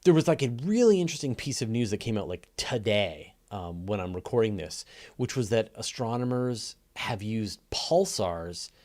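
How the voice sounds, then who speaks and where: A man's voice: on the low side, animated, moderate pace, a little mellow. A man hosting a casual live science question and answer session, explaining conversationally and thinking aloud with enthusiasm. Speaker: host answering audience questions.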